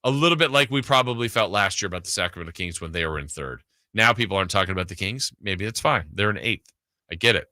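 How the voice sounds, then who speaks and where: Male sports talk host, casual and emphatic, punchy sharp tone, slightly low register. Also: dry recording, studio quality.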